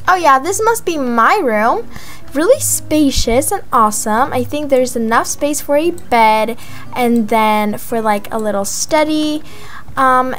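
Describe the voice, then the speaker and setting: A woman narrating a roleplay house tour in a casual, gushing manner, with excited exclamations. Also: high-pitched. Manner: gushing, breathy gasps of amazement, drawn-out exclamations. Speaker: gaming video creator. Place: voice-over of gameplay, music behind.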